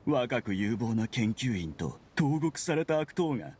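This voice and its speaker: An actor playing a bitter, sneering researcher turned criminal, sardonic and argumentative, in an anime-style Japanese game. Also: male voice, medium pitch, very animated, measured pace, a little mellow, slight background noise. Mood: mocking.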